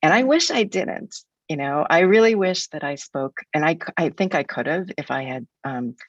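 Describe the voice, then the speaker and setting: A woman's voice: deep voice, lively intonation, moderate pace, no room sound, dull audio. A woman in her sixties from the United States, a guest speaker in an academic webinar, talking in a frank, reflective way with some restarts.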